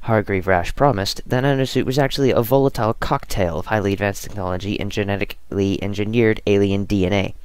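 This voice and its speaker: A man hosting a gaming video, reading scripted narration or casually commenting, with a creaky, smooth voice. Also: fairly low pitch, steady tone, quick pace, few pauses.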